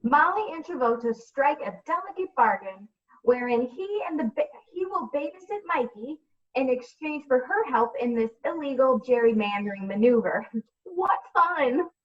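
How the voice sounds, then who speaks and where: A woman's voice: sits high, animated, normal speed, distant mic. A woman reading comedic scripted narration for a radio play, recorded on a dull mic.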